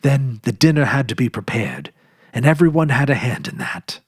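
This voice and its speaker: A man narrating a classic holiday story in an expressive, gentle storytelling manner. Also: medium pitch, moderate pace, breathy edge, no room sound, studio quality. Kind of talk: dramatic reading of a classic story.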